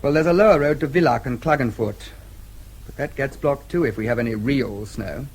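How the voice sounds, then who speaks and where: An actor playing a British diplomat and protective brother in a radio drama, clipped, courteous and formal, with an English accent. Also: male voice, mid-range voice, brisk, slight background noise, natural voice, low-quality recording. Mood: matter-of-fact.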